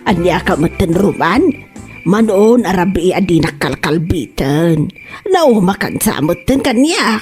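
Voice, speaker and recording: A woman's voice: lowish voice, very animated, measured pace, darkish tone, faint music behind. A woman playing a nagging middle-aged mother and wife in an Ilocano comedy drama, with a Filipino Ilocano accent. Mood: coaxing.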